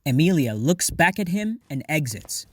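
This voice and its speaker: A man's voice: fairly high pitch, big pitch swings, normal speed, no echo. A man reading stage directions as scripted narration for an audio drama.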